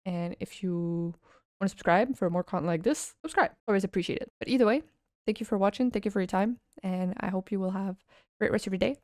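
A woman streamer giving casual, excited reaction commentary, gushing and swearing freely. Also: medium pitch, fast talker, dry recording, clean studio sound. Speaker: vtuber streamer. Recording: music behind the voice. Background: game trailer music.

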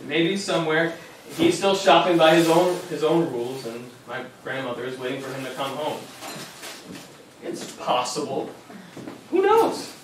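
A fond young grandson played by a man remembers his grandfather on stage, patient and wry. His voice is high for a man. It comes from far off in a very reverberant small theater with faint noise. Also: very animated, normal speed. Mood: reflective, wistful. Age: young adult. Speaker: stage actor and narrator. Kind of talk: memory play monologue and dialogue. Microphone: far audience camera. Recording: very echoey theater, distant camera, faint noise.

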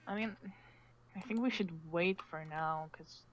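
A level-headed female survivor, acted by a woman in a game roleplay. Her voice is slightly low, casual and measured, over faint noise and key clicks. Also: dull audio, close to an everyday voice. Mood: calm, concerned. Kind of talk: amateur roleplay acting.

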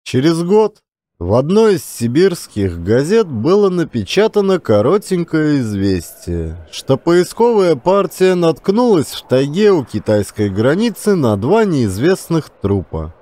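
Male audiobook narrator, lively intonation. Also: fairly high pitch, measured pace. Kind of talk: scripted narration and channel announcements.

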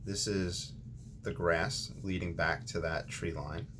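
Low-voiced man teaching watercolor. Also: slow, background noise. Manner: relaxed, thinking aloud with ums and restarts. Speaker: watercolor tutorial host. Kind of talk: casual painting instruction.

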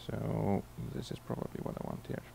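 Icelandic man giving a keynote on stage. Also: fairly quick. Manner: relaxed storytelling with frequent fillers and restarts. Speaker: keynote speaker. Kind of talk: conference keynote talk. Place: large conference hall on stage. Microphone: handheld microphone.

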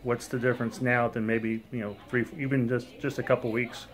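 Male interviewer, brisk casual questions, rapid delivery, mid-pitched voice. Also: flat delivery. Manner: quick, casual questioning with restarts. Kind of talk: post-game interview questions.

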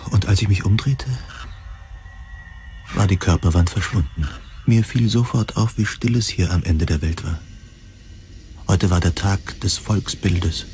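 A man narrating a first-person science fiction audio drama in measured, deliberate, literary phrasing. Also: low voice.

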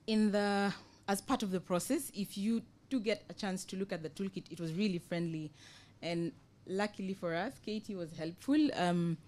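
A young woman with a Kenyan accent gives an informal panel presentation as an NGO project officer, explaining in a measured way with hesitant pauses. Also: mid-range voice, darkish tone. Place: conference room, indoors, panel table. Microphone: table microphone on a stand.

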